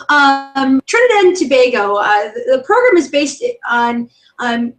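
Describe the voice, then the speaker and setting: A woman's voice: a little high, low-quality recording. A woman who founded a tour company, presenting slides informally with many ums and restarts and laughing easily.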